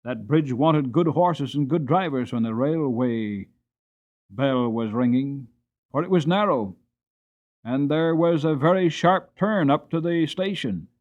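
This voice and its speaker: A man narrating an audiobook in a dramatic storytelling style, with expressive, theatrical emphasis. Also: fairly high pitch, muffled recording.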